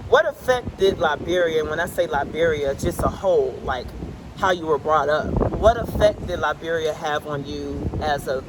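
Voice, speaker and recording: A man's voice: a little high, lively intonation, moderate pace, noisy background. A young adult man from the United States hosting an interview with his father, eager and affirming, with frequent fillers. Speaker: interviewer, host. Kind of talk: conversational interview.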